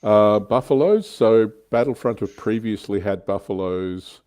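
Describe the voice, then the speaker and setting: A man's voice: on the low side, measured pace, a little mellow, smooth. A man co-hosting a livestream, chatting casually and explaining his opinions on a hobby. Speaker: livestream co-host. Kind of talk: casual hobby chat about tabletop wargames.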